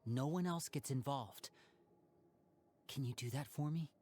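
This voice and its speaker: A man voicing a calm, protective young magician who acts as an older brother. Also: mid-range voice, unhurried, a little hiss or hum, close to an everyday voice. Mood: determined. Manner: calm, gentle and earnest. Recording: game cutscene audio with background music. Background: game music.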